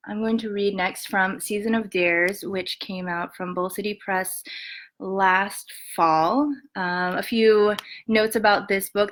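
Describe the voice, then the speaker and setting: A woman poet reading her poems aloud and talking softly between them, with many ums, on what sounds like a home webcam mic. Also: mid-range voice. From Montana and western Colorado, USA. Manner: soft-spoken, many ums, measured reading. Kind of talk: poetry reading with spoken introductions.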